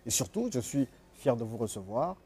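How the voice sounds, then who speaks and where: A man's voice: mid-range voice, very animated, normal speed, a little mellow, husky. A man from the Republic of the Congo hosting a radio interview, courteous and hesitant, with many fillers. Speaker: radio host.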